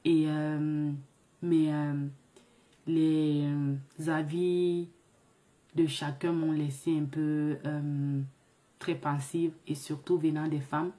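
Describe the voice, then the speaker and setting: A woman with the flu speaks in a low, flat, mellow voice. She hosts her vlog in an earnest, reflective way. Her speech is halting, with many fillers and restarts. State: sick with the flu. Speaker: vlog host. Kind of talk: casual vlog monologue.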